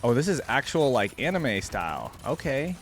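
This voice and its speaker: A man's voice: medium pitch, big pitch swings, moderate pace. A young adult man, giving chatty, enthusiastic reaction commentary as a video host.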